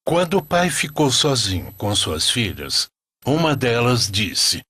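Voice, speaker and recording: A man narrating a scripted religious story as a storyteller, in an expressive, deliberate way. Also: medium pitch, slightly rough, no room sound.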